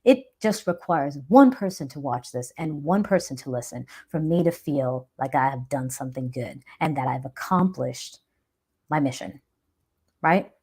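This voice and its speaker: A woman's voice: deep voice, lively intonation, moderate pace, darkish tone, slightly rough. A woman hosting a live chat, talking earnestly and reflectively about self-doubt and growth, often checking in with a "right?". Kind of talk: motivational live stream talk.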